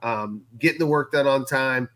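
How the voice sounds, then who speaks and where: A man's voice: medium pitch, moderate pace, fairly bright tone. A man co-hosting a casual recap chat, often repeating and restarting his phrases. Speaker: co-host.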